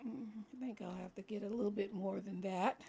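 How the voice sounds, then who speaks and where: A woman hosting a crafting tutorial in a relaxed, chatty way, explaining each step as she works, her voice scratchy from a cold. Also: medium pitch, a little fast, creaky. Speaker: crafting channel host. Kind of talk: casual crafting tutorial talk.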